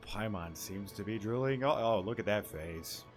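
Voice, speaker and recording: A man's voice: fairly low pitch, moderate pace, loud music behind the voice. A man giving casual let's play commentary, thinking aloud in fragments as he plays. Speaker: gaming streamer.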